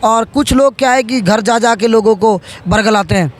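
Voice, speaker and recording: Male politician, 30s, high voice, halting interview delivery with repeated phrases. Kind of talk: interview answers.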